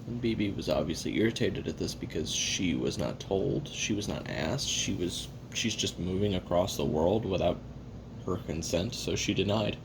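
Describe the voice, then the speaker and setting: A man plays a hard-drinking, jaded male assassin taping his memoirs for an audio roleplay. His voice is low, with a gravelly fry, and he rambles wryly, cursing and putting himself down. Clear hiss and rumble sit under the recording. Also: expressive, normal speed. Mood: subdued, reflective. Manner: profane, wry, rambling, self-deprecating. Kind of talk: confessional audio roleplay monologue.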